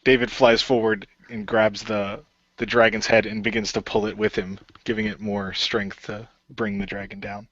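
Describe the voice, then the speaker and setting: A man's voice: on the low side, flat delivery, normal speed, a bit bright, no room sound, lo-fi sound. A man improvising third-person action narration for his character in an amateur radio play. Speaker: player narrating own character.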